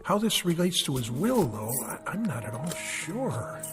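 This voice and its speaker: A man's voice: fairly high pitch, very animated, a little slow, in a large hall, over music. An actor playing an inquisitive man sorting through a dead man's estate, musing aloud as he looks around. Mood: curious. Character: curious male investigator of a dead man's estate. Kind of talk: radio drama acting.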